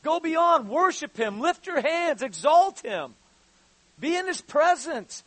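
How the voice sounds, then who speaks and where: A pastor in his fifties preaches with a high voice, doubling back over his words before rising into urgent calls to his listeners. The sound is dry and close, with almost no room around it. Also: very animated, no room sound, low-quality recording. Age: 50s. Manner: halting, repeats words, rises to fervent exhortation. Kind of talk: sermon.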